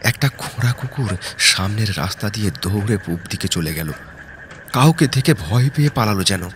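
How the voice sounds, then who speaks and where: A man narrating a horror story in a slow, hushed, dramatic way, dropping to a whisper at times, close to the mic. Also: lowish voice, slight background noise. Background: eerie background music.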